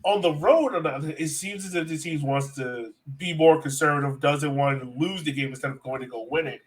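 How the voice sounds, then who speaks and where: A man's voice: a little high, a little fast. A man co-hosting a sports podcast, talking soccer in a casual, emphatic way. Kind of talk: casual soccer analysis chat.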